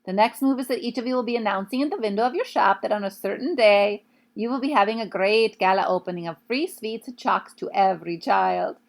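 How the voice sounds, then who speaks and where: A woman voicing an imperious, child-hating Grand High Witch for a children's storybook, commanding and emphatic. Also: fairly quick, gravelly, lightly acted.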